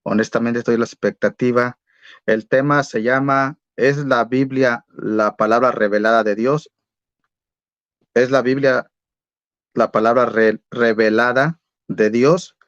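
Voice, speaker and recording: A man's voice: medium pitch, fairly even intonation, unhurried, fairly bright tone, no echo. A man introducing and moderating a debate in an orderly, courteous way. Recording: dry, dull lo-fi audio.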